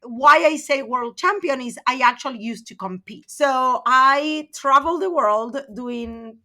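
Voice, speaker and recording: A middle-aged woman from Argentina, a podcast guest telling her career story in a chatty, animated way full of fillers. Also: high-pitched, unhurried. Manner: animated, chatty, uses many fillers like "like" and "right?". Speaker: podcast guest, personal branding coach. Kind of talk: conversational interview storytelling.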